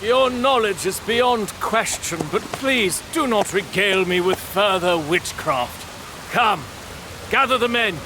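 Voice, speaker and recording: An actor playing a proud, headstrong lord in a period audio drama, speaking in a commanding, formal, theatrical manner. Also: male voice, high-pitched, takes it slowly, background noise. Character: proud, headstrong English lord leading a stag hunt.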